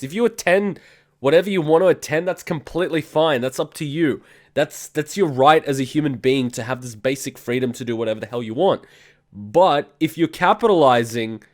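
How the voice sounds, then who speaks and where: Brief: male, twenties, hosts a reaction video with a blunt, profane ranting delivery. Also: fairly high pitch. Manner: ranting, blunt, heavy swearing. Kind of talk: casual reaction commentary.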